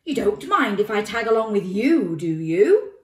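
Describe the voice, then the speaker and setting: A woman's voice: medium pitch, very animated, normal speed, darkish tone, reverberant, natural voice. A woman voicing a genial, easily alarmed male elephant in a children's storybook, politely anxious.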